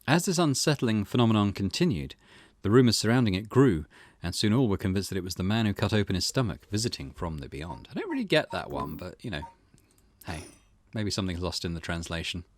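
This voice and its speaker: A man hosting a gaming playthrough, conversational in his commentary and expressive when reading the story text aloud. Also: lowish voice, a little fast. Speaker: gaming channel host.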